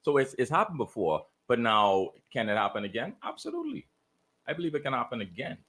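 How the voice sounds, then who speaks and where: A man giving his views casually as a radio guest, in a hesitant, halting way. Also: mid-range voice, huge pitch swings, a bit bright, slightly rough. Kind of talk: casual chat.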